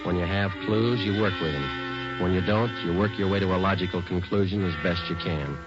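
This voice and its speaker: An actor playing a stoic police detective in a 1940s radio drama, narrating in a clipped, matter-of-fact way. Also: male voice, deep voice, brisk, lightly acted. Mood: businesslike, deadpan. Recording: muffled old radio recording with loud music.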